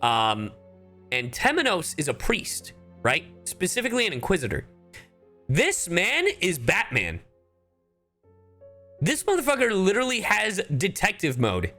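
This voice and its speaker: Animated, sweary male game streamer. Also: high-pitched, a little slow, pauses often. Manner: animated, sweary, tangent-prone. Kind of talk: casual chat, live game commentary. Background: game music.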